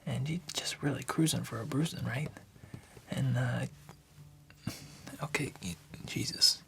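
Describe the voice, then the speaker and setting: A man's voice: a little slow, fairly bright tone, a little hiss or hum. A man playing a friendly, nosy bartender in an ASMR noir radio drama, talking in a hesitant, chatty half-whisper full of uhs. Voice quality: gravelly low whisper.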